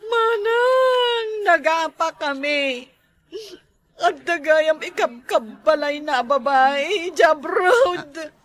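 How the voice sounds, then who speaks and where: A woman's voice: sits high, lively intonation, unhurried, bright, forceful tone, full character voice. A woman with an Ilocano accent playing a meek, heartbroken younger sister-in-law in a comedy drama. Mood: tearful.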